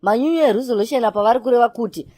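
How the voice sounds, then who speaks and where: A woman's voice: fairly high pitch, racing, mellow, dry recording, close to an everyday voice. A woman voicing a haughty, swaggering youngster in a comedy cartoon, mixing English into Shona. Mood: smug. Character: snobbish, swaggering youngster who disdains township life.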